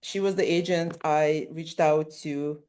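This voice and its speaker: A woman's voice: low-pitched, fairly even intonation, measured pace, a little mellow. A woman whose first language is Russian, an author-illustrator answering interview questions thoughtfully, often restarting her sentences. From Ukraine.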